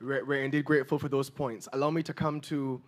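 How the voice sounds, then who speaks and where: Brisk polite Barbadian moderator, man. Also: medium pitch, flat delivery. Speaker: meeting moderator. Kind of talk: moderating a public meeting.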